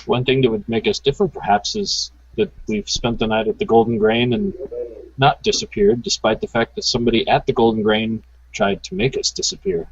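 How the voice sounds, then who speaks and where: A man plays a courteous woman adventurer whose voice is very deep, gravelly and husky. It rises and falls freely, and the audio is dull and lo-fi, like an online call. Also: sing-song, a little fast, no room sound, full character voice. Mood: earnest. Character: woman adventurer Muriel, polite and inquisitive.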